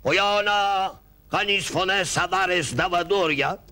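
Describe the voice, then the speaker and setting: Pasha for a shadow-puppet play: bossy, irritable, broken speech, bright tense voice, done by a man. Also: high-pitched. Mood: imperious. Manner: broken Greek mixed with Turkish words, commanding. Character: blustering Turkish-speaking male pasha, irritable. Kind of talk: Greek shadow-puppet theatre.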